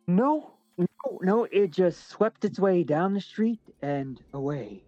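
A pompous, status-minded job applicant with a fairly high male voice. His formal, pointed phrasing slips into stammers in this comedic audio drama. The sound is dry and a little dull. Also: expressive, slow pace, darkish tone, dry recording, faint music behind, heavily acted. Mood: evasive, bluffing.

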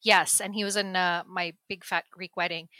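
A woman's voice: lowish voice, level tone, normal speed, bright, forceful tone, dry recording, studio quality. A woman and mother chatting casually about a teen movie, with frequent restarts and laughter. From United States. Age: adult parent. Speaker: movie review guest.

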